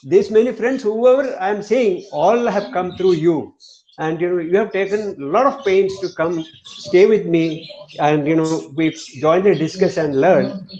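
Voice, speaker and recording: A man's voice: high voice, measured pace, darkish tone, dull audio. A man from India speaking on an online meetup call, praising a friend and often saying "you know".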